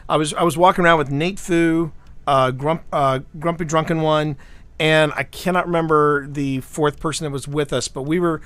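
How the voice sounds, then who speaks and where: A man's voice: a little high, steady tone, moderate pace, a bit bright, clear, resonant tone, no room sound. Rambling male stream host. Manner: rambling storyteller, repeats words often. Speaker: livestream host. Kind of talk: casual chat.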